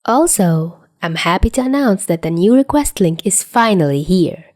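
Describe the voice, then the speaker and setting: A woman reads fiction like an audiobook, with a fairly low, mellow voice. The delivery is expressive and moves widely in pitch. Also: normal speed, no room sound, studio quality. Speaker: podfic narrator. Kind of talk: expressive audiobook-style story narration.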